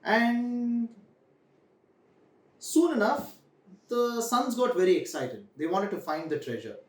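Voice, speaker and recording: A young man, telling a story to children in a deliberate way, repeating phrases for emphasis. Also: sits high, animated. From India. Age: young adult. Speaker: storyteller host. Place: small room indoors. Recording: echoey room, distant microphone.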